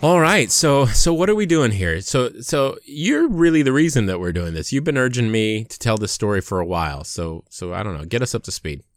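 An American man in his forties from Hawaii talking casually as a podcast host, often halting and restarting his thoughts. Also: mid-range voice, sing-song, dry recording, soft background music. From Hawaii, United States. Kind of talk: casual conversational podcast talk.

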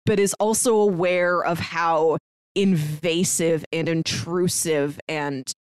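A woman's voice: lowish voice, steady tone, slow pace, smooth, dry recording, clean studio sound. A woman co-hosting a podcast, talking in a chatty, enthusiastic way in a casual review conversation. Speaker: podcast co-host.

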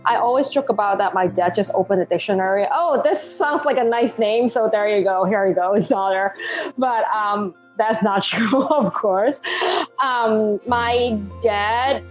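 A woman in her thirties from Hong Kong, a Cantonese native speaker with a Hong Kong English accent, telling her story as a podcast guest in animated, laugh-filled talk. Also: mid-range voice, a little slow, soft background music. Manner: animated, laughs often, non-native phrasing. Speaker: guest, life coach. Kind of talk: podcast interview.

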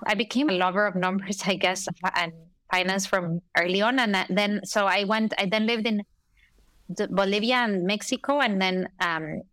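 Low-voiced woman from Costa Rica. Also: fairly bright tone, dry recording. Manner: thoughtful, uses fillers and restarts. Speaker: startup founder and CEO, guest. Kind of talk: interview conversation.

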